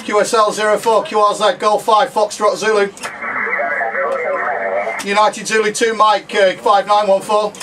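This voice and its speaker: A man with a high, monotone voice runs radio contest contacts from a small room. He is picked up at a distance under very loud noise, spelling out callsigns in procedural phonetic exchanges. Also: a little slow, long pauses. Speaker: amateur radio contest operator. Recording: very noisy radio shack, distant mic.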